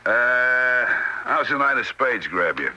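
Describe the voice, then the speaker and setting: A man's voice: medium pitch, very animated, takes it slowly, pushed and bright, highs cut off, slight background noise, full character voice. An actor playing a cocky, wisecracking card-playing pal in an old-time radio drama, breezy and teasing in his slangy talk. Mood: breezy, sly.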